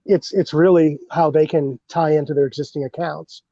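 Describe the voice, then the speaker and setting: A man with a mellow, slightly raised voice, rambling about his marketing plans with frequent "uh"s and restarts. The sound is dull and lo-fi, dry, and without much top end. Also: fairly high pitch, steady tone, moderate pace, no echo. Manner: rambling, many fillers and restarts. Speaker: bourbon brand representative, podcast guest. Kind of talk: casual podcast chat.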